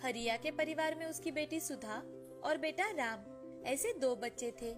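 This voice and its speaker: A woman with a high voice narrates a scripted tale in storybook style. Music plays clearly behind her narration. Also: animated, normal speed. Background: background music.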